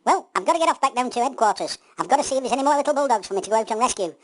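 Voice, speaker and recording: A woman's voice: fairly high pitch, expressive, very fast, no echo, studio quality. A woman voicing an eager, affectionate young male bulldog superhero in a children's pantomime story. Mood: excited, heroic.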